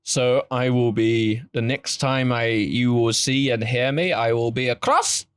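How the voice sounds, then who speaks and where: A man's voice: medium pitch, measured pace, clean tone, no echo. A man co-hosting a podcast in a loose, joking chat, laughing often. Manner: jokey, silly, laughs often. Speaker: podcast co-host. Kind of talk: casual banter.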